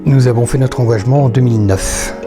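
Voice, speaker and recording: A man's voice: mid-range voice, quick pace, music in the background. A retired man in his sixties introducing himself in an orderly, matter-of-fact way with occasional fillers. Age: 60s. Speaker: retired school administrator, lay associate. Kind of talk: recorded personal testimony.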